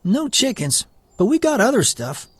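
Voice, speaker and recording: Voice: mid-range voice, very animated, normal speed, slight background noise, lightly acted. A performer playing a bold young male drifter in an audio drama, breezy and slangy. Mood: friendly.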